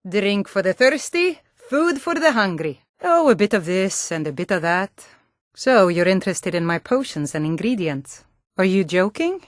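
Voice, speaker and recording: A woman's voice: mid-range voice, sing-song, moderate pace, no echo, clean studio sound, lightly acted. An actress playing a stern, suspicious, hot-tempered Nord townswoman in a fantasy video game, speaking in a blunt, challenging way. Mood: businesslike. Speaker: game character voice.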